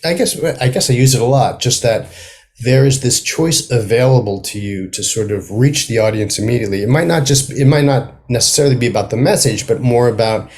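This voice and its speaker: A man, a playwright, talking casually as an interview guest in a halting, thoughtful way, often trailing off mid-sentence. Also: medium pitch, darkish tone. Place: remote video call.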